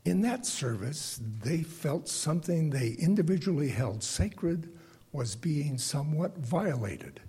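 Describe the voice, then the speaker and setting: An older man with a bass singing voice gives a reflective, prepared lay sermon to a congregation, speaking deliberately and haltingly with pauses. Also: expressive, warm tone, breathy edge. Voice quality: self-described bass voice.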